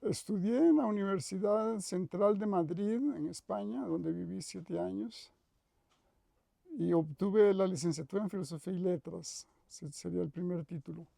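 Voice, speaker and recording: An older man with a Costa Rican accent recalling his life in an interview, thoughtful and measured, often pausing to correct himself. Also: high voice, gravelly, mellow, no room sound. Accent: Costa Rican Spanish. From Costa Rica. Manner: thoughtful, measured, with frequent restarts and self-corrections. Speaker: retired professor interviewed. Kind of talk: reflective interview reminiscence.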